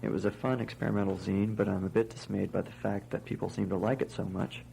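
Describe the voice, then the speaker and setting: A man with a dark, creaky voice talking informally and with understatement about his own writing. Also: fairly low pitch, flat delivery, fast talker, a little hiss or hum, poor audio. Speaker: amateur zine writer.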